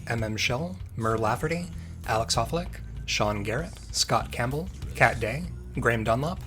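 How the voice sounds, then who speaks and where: A man with a medium-pitched voice announces the end credits of an audio drama. He reads from a script, name after name, with music playing underneath.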